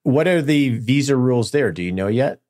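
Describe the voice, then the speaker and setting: A man's voice: medium pitch, lively intonation, a little fast, a little mellow. An American man hosting a podcast, asking questions in a conversational interview style. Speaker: podcast host interviewing guests.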